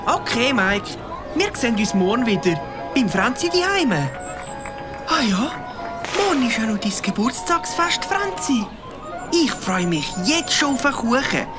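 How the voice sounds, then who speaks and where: A shy Swiss German girl, high, lively voice. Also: a little slow, over music. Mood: cheerful. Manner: hesitant, friendly. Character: cautious young girl among friends.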